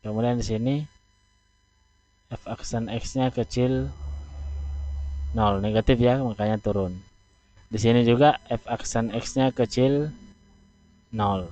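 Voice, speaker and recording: A man teaching math, talking through a graph step by step with slow, deliberate pauses. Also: fairly low pitch, mellow. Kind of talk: explaining at a board. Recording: dull, dry lo-fi recording.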